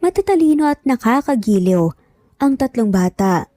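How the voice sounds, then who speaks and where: A woman's voice: fairly high pitch, sing-song, normal speed, warm tone, no echo. A woman reading scripted narration as the storyteller of a romance story.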